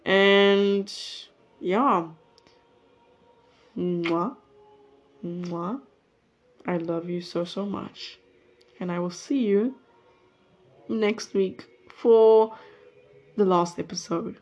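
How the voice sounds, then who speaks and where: A woman's voice: mid-range voice, steady tone, extremely slow, pauses often, highs cut off. A nineteen-year-old woman hosting her solo podcast, chatting casually and rambling, breaking off her own sentences. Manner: rambling, chatty, self-interrupting. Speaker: podcast host. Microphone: mic plugged into a phone.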